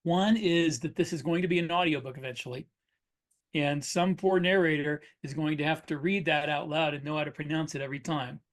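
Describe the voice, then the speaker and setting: Male, middle-aged, high-set voice, casual podcast co-host, explanatory storyteller. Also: a little fast. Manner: anecdotal, explanatory. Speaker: podcast co-host and science fiction author. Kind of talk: casual conversational discussion.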